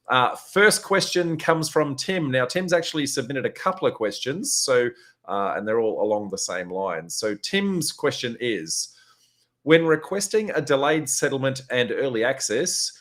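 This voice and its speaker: Hoarse man hosting a Q&A. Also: medium pitch, a bit bright. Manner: chatty, many filler "uh"s. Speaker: host. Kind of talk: live Q&A session.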